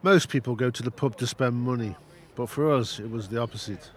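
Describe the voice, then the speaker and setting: A man's voice: medium pitch, brisk, natural voice. A man playing the narrator of an audio drama, wryly recalling a boyhood escapade in scripted first-person storytelling. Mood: wry, reminiscent.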